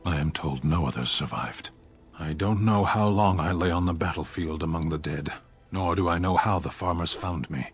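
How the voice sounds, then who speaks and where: A man playing a grave, proud foreign warrior in a video game, speaking in terse, measured, formal sentences. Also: sits low, natural voice. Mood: grave, confessional. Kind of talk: video game dialogue acting. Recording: music behind the voice. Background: game music.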